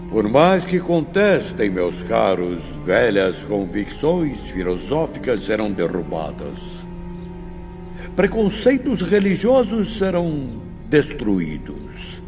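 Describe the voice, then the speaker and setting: A man playing a solemn, wise spirit teacher who instructs his disciples in a measured, fatherly way, in an audiobook drama. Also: lowish voice, wildly swooping pitch, over music, full character voice. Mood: solemn, emphatic. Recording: dull sound, long reverb, background noise.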